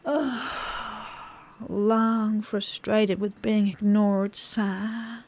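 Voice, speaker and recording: A woman playing a sensible, fed-up country woman in a comedic audio drama, halting and emphatic. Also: mid-range voice, fairly even intonation, darkish tone.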